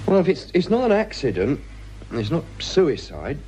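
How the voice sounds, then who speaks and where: An actor playing an earnest young police constable in a radio drama, deferential but persistent in making suggestions. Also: male voice, on the high side, wildly swooping pitch, normal speed, a little mellow, slight background noise, low-quality recording. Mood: doubtful.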